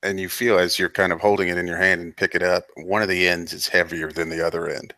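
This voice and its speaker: A man running a tabletop game as game master, describing scenes in a plain, measured way. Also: low-pitched, level tone, pushed and bright, no echo.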